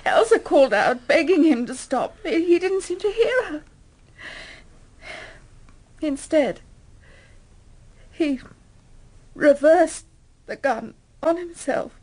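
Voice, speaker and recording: A distraught wife in a radio play, pitched high. She is halting and apologetic, stumbling over her words as she struggles to stay composed. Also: animated, darkish tone. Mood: distressed. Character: shaken, distraught wife recounting a violent death. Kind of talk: radio drama acting.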